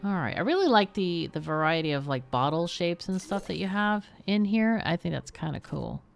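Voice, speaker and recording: A woman's voice: fairly low pitch, expressive, moderate pace, creaky, faint music behind. A woman chatting casually and thinking aloud as she plays a game on a livestream. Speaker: streamer and game player. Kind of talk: casual livestream gameplay commentary.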